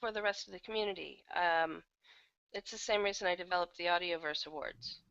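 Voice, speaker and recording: A woman's voice: lowish voice, a little fast, creaky, fairly bright tone, smooth, dry recording, lo-fi sound. A woman chatting casually as an interview guest, talking as an audio drama writer and producer.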